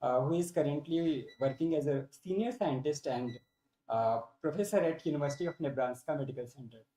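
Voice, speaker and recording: A man makes formal announcements as host of a ceremony. His voice is mellow and dark, carried in a strongly echoing room. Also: fairly high pitch, brisk. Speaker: event host. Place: indoor hall at a university ceremony. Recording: echoey room.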